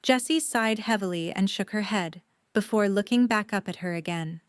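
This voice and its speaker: A woman's voice: medium pitch, moderate pace, clean tone, dry recording, studio quality. A woman reading scripted third-person story narration for an audio drama.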